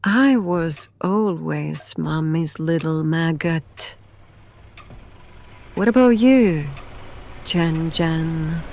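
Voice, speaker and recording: A woman's voice: low voice, extremely slow, long pauses, a little mellow, exaggerated voice. A woman playing a ghostly, deranged mother in a horror audio drama, crooning sweetly and menacingly with eerie whispers.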